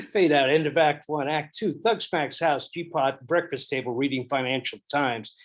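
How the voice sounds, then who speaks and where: A man's voice: on the high side, normal speed. A man reading stage directions at a table read, plain and matter-of-fact.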